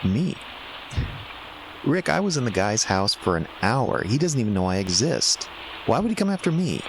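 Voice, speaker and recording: A man's voice: medium pitch, big pitch swings, normal speed, a little hiss or hum, poor audio. An actor playing a skeptical, rattled male writer in a horror audio drama, agitated and muttering. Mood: dismissive disbelief.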